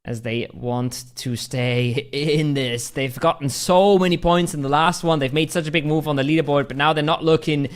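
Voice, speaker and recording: A man with a fairly high voice calls a live esports match. He moves between analysis and excitable play-by-play, always following the action. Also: moderate pace, hardly any pauses, smooth. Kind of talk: live game commentary.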